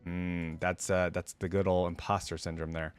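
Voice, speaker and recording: A man's voice: low voice, level tone, moderate pace, clean tone, no echo, faint music behind. A man hosting a reaction video, moving between casual commentary and reading story text aloud.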